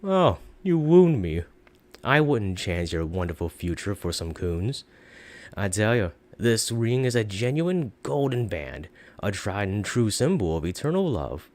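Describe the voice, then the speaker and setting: A man acts out a sly storybook pawnbroker, boastful and full of flattery. His pitch leaps about as he delivers theatrical, wheedling sales patter. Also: a little slow. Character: sly, boastful, flattering pawn shop owner, a swindler.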